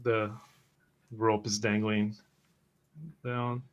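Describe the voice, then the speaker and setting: A man's voice: fairly low pitch, flat delivery, very slow, clean tone, dull audio. A man voicing a caring companion character in a tabletop roleplay. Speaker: player.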